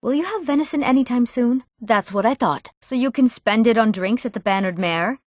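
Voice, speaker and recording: A woman's voice: fairly high pitch, expressive, brisk, darkish tone, no echo, studio quality. A young woman voicing an eager, proud young Nord townswoman in scripted fantasy game dialogue, with an earnest delivery. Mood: brisk, friendly. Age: young adult. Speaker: video game voice actor.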